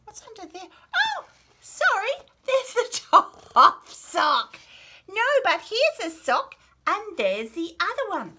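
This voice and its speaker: An Australian woman reads picture books to very young children. Her voice is bright and swings widely in pitch, with a slow, sing-song delivery. She keeps turning to the child with questions and chatty asides. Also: huge pitch swings, slight background noise. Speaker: children's picture book read-aloud host.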